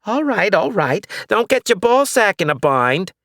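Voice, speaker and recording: A man's voice: high-pitched, sing-song, unhurried, fairly bright tone, no echo, studio quality, pitched above the performer's own voice, heavily acted. A man voicing a foul-mouthed, suspicious old woman neighbor who curses and mutters. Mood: cranky cursing.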